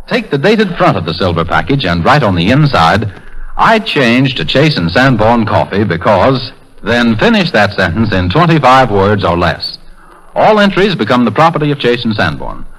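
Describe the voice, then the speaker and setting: A man's voice: medium pitch, fairly bright tone, rough recording. A man reading an advertisement as a 1930s radio announcer.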